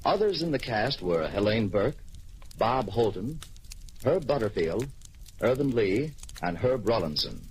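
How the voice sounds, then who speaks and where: A man's voice: lowish voice, very animated, a little slow. A man reading scripted credits as a formal, declamatory 1940s radio announcer. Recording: noisy old radio broadcast recording.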